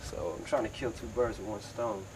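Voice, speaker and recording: A man getting over a cold, sniffling and clearing his throat as he explains a how-to job outdoors in a laid-back, chatty way. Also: lowish voice, moderate pace. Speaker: DIY video maker. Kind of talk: casual how-to tutorial. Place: outdoors beside a car in sunshine. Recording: outdoor ambient noise.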